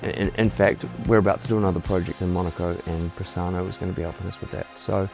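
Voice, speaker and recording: A middle-aged New man with a low, gravelly voice talks in a candid, relaxed way, now and then stumbling and restarting a word. Soft music plays quietly underneath. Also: quick pace. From New Zealand. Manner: candid, restarts words, warm praise. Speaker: homeowner giving a client testimonial. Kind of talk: relaxed testimonial interview.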